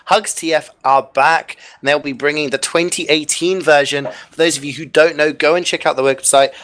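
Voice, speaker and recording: A man casting an online game match live, chatty and upbeat with frequent fillers, a bit hoarse as he loses his voice. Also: on the high side, sharp tone. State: losing his voice. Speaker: esports caster. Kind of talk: live esports commentary and sign-off.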